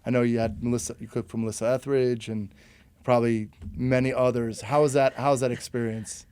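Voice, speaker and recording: A male podcast host in a casual interview, asking questions haltingly and restarting them. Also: mid-range voice, level tone, a little mellow, clean tone.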